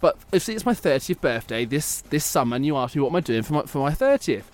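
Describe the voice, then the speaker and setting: A man's voice: a little high, big pitch swings, quick pace, darkish tone. Chatty young male radio host, light voice. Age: late 20s. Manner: chatty, laughs often, self-mocking. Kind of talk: casual sports radio chat.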